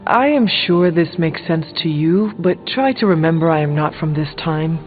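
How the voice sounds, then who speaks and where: An actor playing an earnest warrior hero from an older time in a video game, speaking in formal, measured wording. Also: male voice, a little high, lively intonation, natural voice. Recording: thin phone-like game audio over music.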